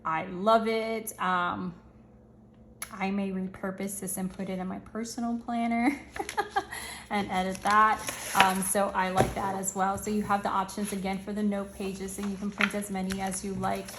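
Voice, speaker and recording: Upbeat, slangy woman host, fraying hoarse voice, steady flat delivery. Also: mid-range voice, normal speed, reverberant, background noise. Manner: chatty, upbeat, slangy, thinks aloud. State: losing her voice, slightly hoarse. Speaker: channel host reviewing planners. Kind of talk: casual product review walkthrough.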